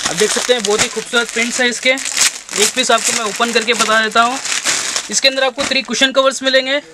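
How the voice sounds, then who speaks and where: A young man, a shop owner giving a brisk sales pitch for his goods and addressing viewers as friends again and again. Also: bright, forceful tone. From India. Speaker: shop owner showing products. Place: textile shop, indoors.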